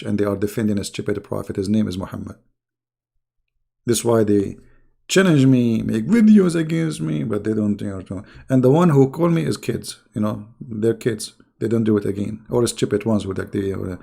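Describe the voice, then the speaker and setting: Hoarse, taunting man with gravelly voice. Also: lowish voice, dark tone. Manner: taunting, insulting, rambling. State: hoarse, losing his voice. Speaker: online religious commentator. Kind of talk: mocking casual monologue.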